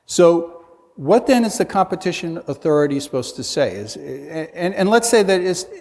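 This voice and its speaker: A man's voice: on the high side, big pitch swings, normal speed, creaky, darkish tone. A man speaking as a conference panelist, earnestly building his case with rhetorical questions and frequent restarts. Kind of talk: panel discussion. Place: conference hall. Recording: reverberant conference hall.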